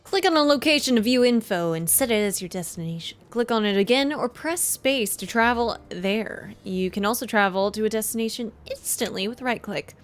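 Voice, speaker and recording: A woman's voice: on the high side, animated, normal speed, hardly any pauses. A woman giving casual livestream commentary on a game and reading its text aloud. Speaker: game streamer. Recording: faint music behind the voice. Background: game music.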